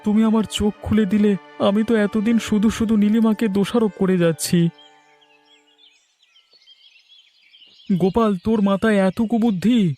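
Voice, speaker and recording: A man's voice: high voice, steady tone, normal speed, mellow, soft background music, natural voice. A man playing a gullible, easily riled married villager in a cartoon, plaintive and indignant. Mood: accusing. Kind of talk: cartoon voice acting.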